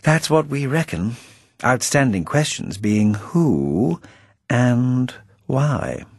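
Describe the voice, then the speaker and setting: A man's voice: mid-range voice, sing-song, takes it slowly, darkish tone, husky, no echo, clean studio sound, pitched above the performer's own voice, exaggerated voice. A man voicing a chipper, suave English spymaster in a storybook, with jaunty upper-class patter and drawled endearments. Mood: affable, confiding. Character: chipper, suave British spymaster, breezy and affable.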